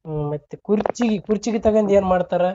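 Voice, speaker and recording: A man's voice: high voice, quick pace. A man with a North Karnataka accent on a phone call, needling and scolding as he repeats his questions in casual banter. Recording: noisy, band-limited phone call recording.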